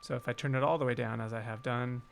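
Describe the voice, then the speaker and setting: A man's voice: medium pitch, steady tone, fast talker, creaky, slight background noise. A man casually teaching music production on a live stream, thinking aloud and muttering short asides to himself as he works. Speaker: music composer and streamer teaching game music writing. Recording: loud instrumental music over the voice. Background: loud instrumental game music being mixed, playing during talk.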